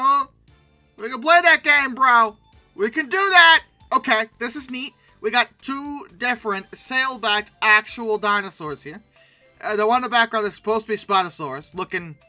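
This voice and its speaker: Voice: mid-range voice, bright, forceful tone. A commentator giving casual, humorous commentary in a sarcastic, theatrical way. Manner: sarcastic, theatrical, breaking into mock outbursts. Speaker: YouTube host reviewing old dinosaur art.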